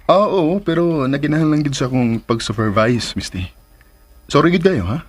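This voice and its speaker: A man acting a busy, apologetic young businessman and boyfriend in a Filipino radio drama, with a Bisaya accent and a husky voice. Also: fairly high pitch, expressive, normal speed. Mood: apologetic, pleading.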